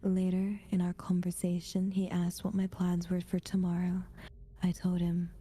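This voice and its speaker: A woman's voice: fairly low pitch, completely flat delivery, normal speed, a little hiss or hum, voice pushed down, natural voice. A woman voicing an anxious eighty-two-year-old in a whispered, solemn scary-story confession for ASMR. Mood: uneasy, resigned. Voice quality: breathy, hushed whisper. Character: anxious 82-year-old grandparent haunted by mysterious sympathy cards.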